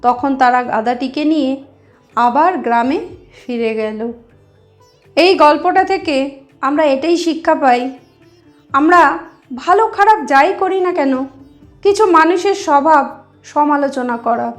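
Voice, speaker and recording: A woman's voice: high voice, takes it slowly, pauses often, soft background music. A woman narrating a moral story as a channel host, explaining things directly to her listeners.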